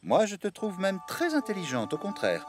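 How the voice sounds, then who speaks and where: Courtly kindly cartoon count, highish voice swooping everywhere, loud music behind. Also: male voice, wildly swooping pitch, moderate pace. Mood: kindly reproving. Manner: flourishing, gallant, emphatic. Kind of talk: French-dubbed children's cartoon acting.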